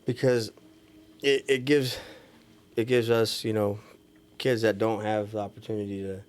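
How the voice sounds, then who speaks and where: A man's voice: on the low side, level tone, moderate pace, clean tone. A man from California in casual podcast chat as a guest, talking informally with slang and lots of "you know" and "like". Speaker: podcast guest, DJ and studio engineer. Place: small room with couches, indoors. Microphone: podcast microphone.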